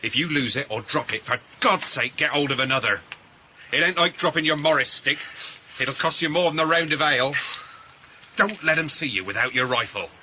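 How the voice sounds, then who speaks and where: A man's voice: on the high side, fairly quick, sharp tone, slight background noise. An actor playing an earnest, bossy corporal rallying his village lads, in a radio drama. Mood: stern, instructing.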